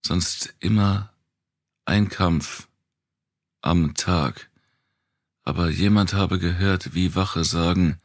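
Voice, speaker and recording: A man plays an anxious woman in a dystopian audiobook. The voice is lifted well above his own, with gravel in it, and the speech comes haltingly in broken grammar. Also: low-pitched, no echo, studio quality, voice pushed up, heavily acted. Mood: hushed, wary. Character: Italian woman translating in broken German, anxious, hushed.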